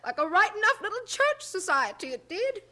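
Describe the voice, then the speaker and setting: A woman playing an excitable, gossipy working-class townswoman in an audio drama, exclaiming in folksy disbelief. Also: extremely high pitch, normal speed, heavily acted. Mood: scandalized amazement.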